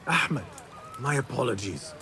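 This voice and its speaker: An actor playing an eager, respectful young apprentice assassin in a video game, earnest and questioning. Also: male voice, medium pitch, expressive, unhurried, bright, forceful tone, a little hiss or hum. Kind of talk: video game cutscene acting.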